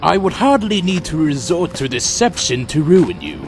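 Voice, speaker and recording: A man's voice: a little high, wildly swooping pitch, normal speed. A man playing a proud, defiant vampire clan leader in an audio drama, formal and theatrically intense. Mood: defiant, urgent. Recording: dramatic music behind.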